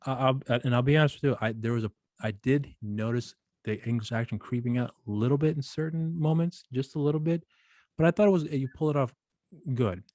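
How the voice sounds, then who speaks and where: A man's voice: medium pitch, brisk, mellow, no echo. A man hosting an interview, asking long rambling questions full of restarts and fillers. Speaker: podcast host. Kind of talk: casual interview chat.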